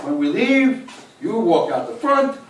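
A man's voice: high-pitched, big pitch swings, unhurried. An older man playing a stubborn, thrifty immigrant grandfather in a live comic stage play, with a dry, argumentative way of talking. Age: older, seventies or over. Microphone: distant camera microphone in the audience. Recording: distant, echoey stage recording with noise.

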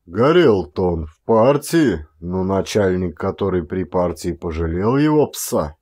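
A man's voice: low voice, big pitch swings, a little slow, darkish tone, voice pushed down, heavily acted. A man voicing a garrulous, indignant Siberian peasant in an audiobook, with folksy, exclamatory, rambling talk. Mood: grumbling, disapproving. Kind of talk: one-actor audiobook character acting.

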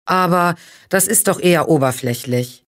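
A woman's voice: on the low side, moderate pace. Woman reading a learner text evenly. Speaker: reader of listening texts.

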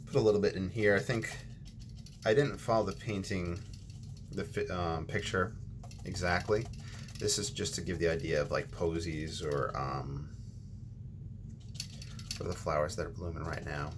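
A man with a very low voice talks through a watercolor lesson at his painting table, loose and unhurried, pausing with ums and correcting himself as he goes. Steady room noise hums behind him. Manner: relaxed, thinking aloud with ums and restarts. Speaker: watercolor tutorial host. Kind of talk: casual painting instruction.

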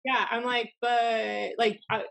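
An American woman in her thirties telling anecdotes casually, in a blunt, animated, sweary way, as a podcast host. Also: a little high, slow, vocal fry. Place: small room at home, indoors. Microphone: desk podcast microphone on video call.

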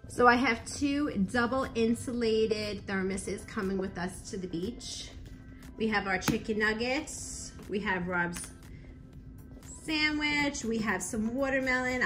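A woman, chatting brightly to camera as a family vlogger, filmed on a handheld phone in her kitchen and car. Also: mid-range voice, low-quality recording. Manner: bubbly, chatty, addresses viewers directly. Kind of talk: casual vlog chat to camera.

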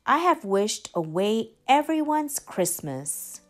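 A woman's voice: on the high side, big pitch swings, takes it slowly, soft background music, voice pushed up. A woman voicing a meek, sincere little male teddy bear in a children's storybook. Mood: contrite. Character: small sorry, grateful male teddy bear.

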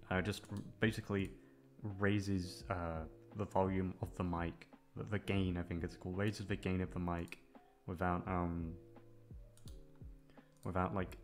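Low-voiced man, hesitant casual ramble. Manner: hesitant, meandering. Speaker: audio roleplay voice actor. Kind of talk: casual rambling outro to listeners. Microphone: close studio microphone. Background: music.

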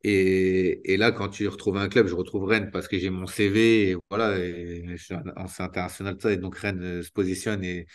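Halting, low-voiced man on a video call. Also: level tone. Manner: spontaneous, halting, many restarts and fillers. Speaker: retired footballer guest. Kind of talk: candid interview. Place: small room indoors. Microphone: video call webcam.

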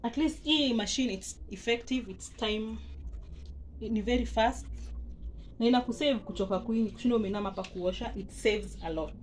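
A woman's voice: on the high side, moderate pace. A Kenyan woman filming a casual how-to on her phone in a small home laundry area, chatting and pointing things out. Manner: chatty, mixes Swahili and English, pointing things out. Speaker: vlogger. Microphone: handheld phone camera. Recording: noisy with running water.

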